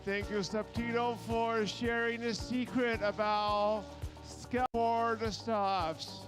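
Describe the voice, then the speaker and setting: High-pitched male event host, slow delivery. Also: flat delivery, music in the background. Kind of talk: live event hosting. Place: event stage with sound system.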